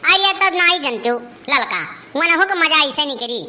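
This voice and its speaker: Piercingly high, quarrelsome cartoon village gossip. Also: female voice, lively intonation, moderate pace, pushed and bright, full character voice. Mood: indignant, plotting. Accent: eastern Uttar Pradesh. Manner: scolding, complaining, colloquial. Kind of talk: exaggerated cartoon comedy dialogue in rural dialect.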